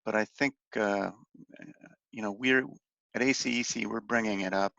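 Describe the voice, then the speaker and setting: A man with a fairly low, gravelly voice talks through a slide briefing on a conference call. His delivery is halting and informal, with phrases that trail off. The sound is roomy and echoey. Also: steady tone, pushed and bright, highs cut off. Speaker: industry association policy advocate briefing on legislation.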